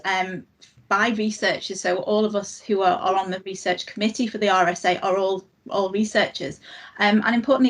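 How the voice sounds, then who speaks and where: A woman on a research staff committee, explaining at a measured pace with frequent ums in a podcast panel discussion. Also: medium pitch.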